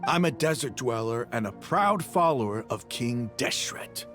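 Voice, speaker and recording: An actor playing a zealous desert mercenary leader in a video game, gravelly, grandiose and mocking, declaiming like a villain. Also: male voice, mid-range voice, lively intonation, unhurried, a bit bright, soft background music. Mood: fervent.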